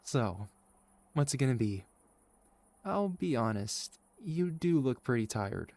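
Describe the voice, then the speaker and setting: A man playing a sweet, caring, gently teasing boyfriend in a soft, reassuring ASMR roleplay. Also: medium pitch, big pitch swings, slow, dry recording, heavily acted. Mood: affectionate, playful. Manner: soft, tender, reassuring, playful. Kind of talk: ASMR boyfriend roleplay, intimate scripted monologue.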